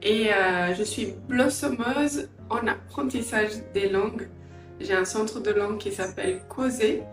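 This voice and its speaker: A middle-aged woman from Angola and England giving a personal interview, reflective and hesitant, often pausing mid-sentence. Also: medium pitch. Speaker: interviewee, entrepreneur and language teacher. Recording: roomy echo, distant mic, faint music.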